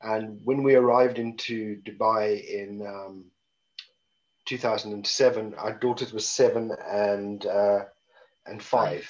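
A man's voice: fairly low pitch, steady tone, takes it slowly. A middle-aged man with a South African accent, speaking as a guest on an online panel in a wry, conversational way with frequent restarts. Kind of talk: reflective panel talk. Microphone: video call. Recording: dull lo-fi video call audio.

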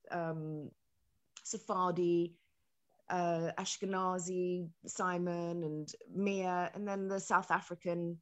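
This is a woman in her forties, speaking slowly and thoughtfully on a video-call panel. Her voice sits a little low for a woman. She pauses often and picks her words with care. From Iran, raised in London. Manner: unhurried, thoughtful, many pauses and fillers. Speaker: panel guest. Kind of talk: reflective panel talk.

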